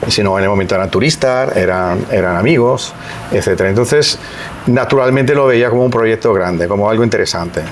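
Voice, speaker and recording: A man's voice: on the low side, brisk, a little hiss or hum. A middle-aged man from Italy's Veneto, speaking Spanish with an Italian accent as a podcast guest, reflective and hesitant with many restarts. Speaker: guest, EU civil servant. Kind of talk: podcast interview.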